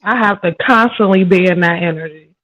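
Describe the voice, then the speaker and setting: A woman's voice: on the low side, brisk, fairly bright tone, no room sound, lo-fi sound. A woman guest in a casual chat, talking in a tough, streetwise, emphatic way.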